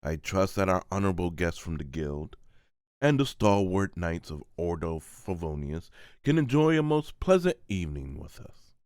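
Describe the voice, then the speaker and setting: A man voicing a courteous young nobleman in an amateur manga voice-over, with measured, formal phrasing. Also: sits low, expressive, darkish tone, clean tone, dry recording, studio quality. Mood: gracious.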